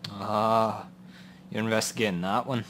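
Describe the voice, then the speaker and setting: A man's voice: lowish voice, fairly even intonation, a little slow, a bit bright. A man playing a matter-of-fact, grimly wry police contact in a noir radio drama, speaking bluntly and dryly. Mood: guarded, matter-of-fact. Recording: audible background noise and ambience.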